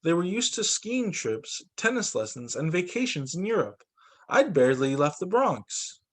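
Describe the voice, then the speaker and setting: A man with a fairly high voice reads a storybook aloud to children. His delivery is expressive and lively, with pitch that moves around a lot, in the manner of a teacher at story time. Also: moderate pace. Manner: animated, acts out dialogue for children.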